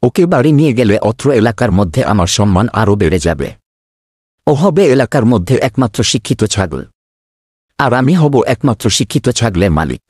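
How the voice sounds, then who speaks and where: A man acts out a self-important, gullible village elder for a comedy cartoon. The voice is raspy and animated, full of pompous satisfaction, and recorded very dry. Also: fairly low pitch, normal speed, no echo. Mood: pleased, proud. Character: gullible, self-important older village uncle buying a goat.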